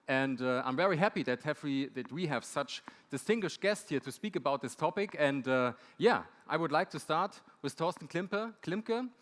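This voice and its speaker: A man's voice: a little high, lively intonation, normal speed, hardly any pauses, a bit bright. A man moderating a conference panel, introducing the topic and speakers from the stage. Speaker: panel moderator, university lecturer. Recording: echoey hall.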